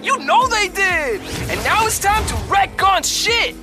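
A woman voicing a hyper, cheeky young tech sidekick in a video game, excitable and slangy. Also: extremely high pitch, a little slow, exaggerated voice. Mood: excited. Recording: music and game sounds behind.

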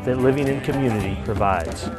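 A man's voice: mid-range voice, a little fast. A middle-aged man reading scripted promotional narration as a spokesman for a community. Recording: music behind the voice.

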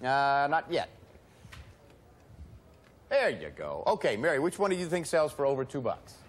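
A middle-aged man hosting a studio game show, talking in a brisk, cheerful, emphatic way. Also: medium pitch, sing-song. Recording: broadcast with loud music and studio audience.

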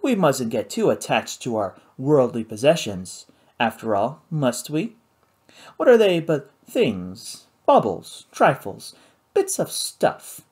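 Brief: narrator doing a hammy pirate captain, pitched up, grand and sly. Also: big pitch swings, slow pace, darkish tone, breathy edge, exaggerated voice. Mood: grandiose. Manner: grand, mocking, showy delivery. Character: theatrical male pirate captain, mock-genteel and menacing.

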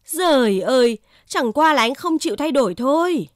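A woman's voice: high voice, animated, moderate pace, no room sound, studio quality. A woman voicing a smug, boastful, idle horse in a cartoon fable. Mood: condescending. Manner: condescending, boastful.

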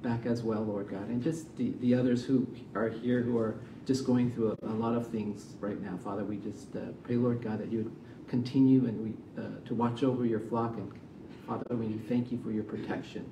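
A middle-aged man, a missionary, giving a sermon and prayer in a church, soft-spoken and halting with many fillers. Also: medium pitch, fairly even intonation, background noise. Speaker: missionary preacher. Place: church sanctuary, medium-sized. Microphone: stand mic from a distance. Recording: roomy church sound, mic far off.

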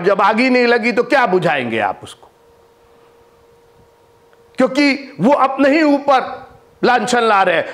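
A man in his fifties preaching a sermon to camera in a studio on a lapel mic, rising to loud emphatic lines and dropping to hushed ones. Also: very high voice. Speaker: bishop and preacher. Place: studio with green-screen backdrop.

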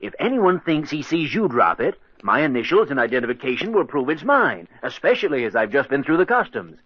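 Pushy smooth-talking crook in an old radio play, husky voice, muffled lo-fi sound. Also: male voice, mid-range voice, very animated, brisk, no room sound. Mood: insistent, cocky. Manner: insistent, persuasive. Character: scheming smooth-talking male diamond smuggler, impatient and cocky. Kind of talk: old-time radio drama acting. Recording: dull, lo-fi old radio recording.

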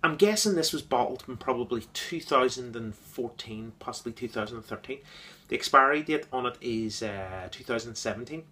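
A man giving an enthusiastic, casual beer review, repeating words and filling pauses with ums. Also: mid-range voice, animated, sharp tone.

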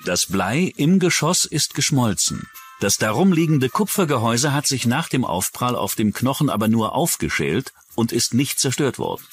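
Male documentary narrator, true-crime script, mid pitch with animated swings, faint music beneath. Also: moderate pace.

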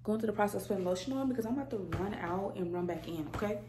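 A woman's voice: medium pitch, racing, noisy background. A young woman, chatting casually to her vlog viewers at home, filmed on a handheld phone. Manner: chatty, addresses viewers as y'all. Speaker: vlogger. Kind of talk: casual vlog chat to camera. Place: apartment kitchen and living room indoors. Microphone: phone camera held at arm's length.

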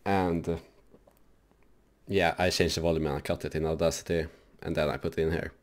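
A man hosting a casual, loose software tutorial for his gaming channel, rambling with lots of fillers. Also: extremely low pitch, level tone, fairly quick.

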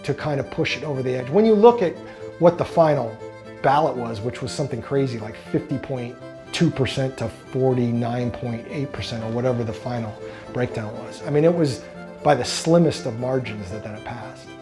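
A man's voice: medium pitch, normal speed, over music. A middle-aged man talking conversationally in a documentary interview.

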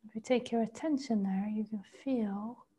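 A woman leading a guided body meditation, speaking slowly and softly, often in a half whisper. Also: on the high side, fairly even intonation, slightly rough. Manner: slow, soft, partly whispered guidance. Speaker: meditation teacher. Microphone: video call.

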